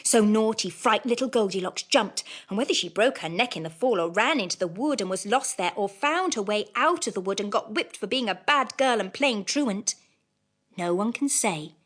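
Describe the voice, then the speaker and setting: A woman reads classic bedtime tales for children as an audiobook narrator. Her voice sits at a middle pitch and moves up and down a great deal, with expressive storytelling phrasing. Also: normal speed.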